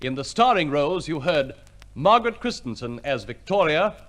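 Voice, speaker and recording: A man's voice: a little high, sing-song, normal speed, a bit bright. A man reading scripted announcements as a formal, polished 1950s radio compere, on an old recording.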